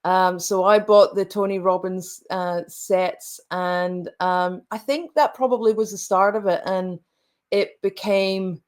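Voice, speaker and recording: A woman's voice: mid-range voice, steady tone. A woman in her late forties from Scotland, a coach speaking reflectively as an interview guest, with frequent restarts. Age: late 40s. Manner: reflective, halting, many restarts. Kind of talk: conversational interview.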